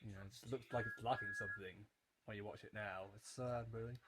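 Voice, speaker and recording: A man's voice: fairly low pitch, fairly even intonation, fast talker, very noisy. A man of about twenty giving casual, rambling, opinionated commentary on a show as he watches it, often breaking off mid-thought. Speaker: YouTube commentator on a children's TV series. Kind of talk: casual rewatch commentary, off the cuff.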